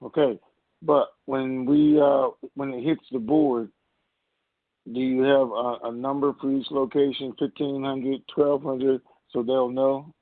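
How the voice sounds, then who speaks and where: A man's voice: medium pitch, little pitch movement, measured pace, no room sound. A man hosting an informal question-and-answer conference call over the telephone. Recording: muffled narrowband phone line.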